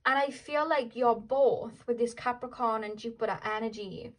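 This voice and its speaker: A woman's voice: fairly high pitch, moderate pace. A young woman with a Welsh accent giving a casual tarot reading, chatting in a rambling way full of fillers and "like". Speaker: tarot reader.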